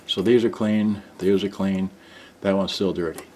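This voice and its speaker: A man giving a casual, unscripted demonstration as a repairer, halting and muttering his thoughts aloud as he handles gear. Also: deep voice, steady tone. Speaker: repairer demonstrating cassette players. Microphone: camera on tripod at some distance. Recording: roomy, distant mic, loud background noise.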